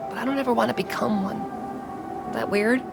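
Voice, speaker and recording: An actress playing a tough, candid street racer and mechanic in a video game, casual and plain-spoken. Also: female voice, on the high side, a little fast, creaky. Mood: wistful, confiding. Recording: loud music and engines behind.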